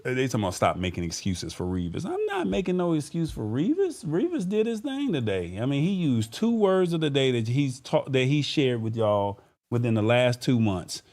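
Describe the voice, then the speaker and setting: A man with a medium-pitched voice hosts a casual live talk show. He speaks informally and conversationally, addressing the audience directly as he goes. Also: huge pitch swings, normal speed, darkish tone. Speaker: show host. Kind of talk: casual live-stream talk show, reading viewer comments.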